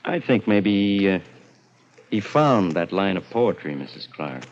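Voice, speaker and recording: Wry, animated hard-boiled inspector. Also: male voice, low voice, a little slow, full character voice. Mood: wry, uneasy. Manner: wry, slangy, incredulous. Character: wisecracking, skeptical, hard-boiled police inspector. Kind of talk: 1940s radio drama acting. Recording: muffled old radio recording.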